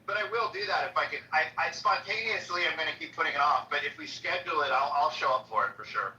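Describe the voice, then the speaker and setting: A man with a high, nasal voice talking casually, stumbling over restarts and turning blunt when he gets heated. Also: quick pace, pushed and bright. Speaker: room host. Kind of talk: casual conversation. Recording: dull lo-fi audio.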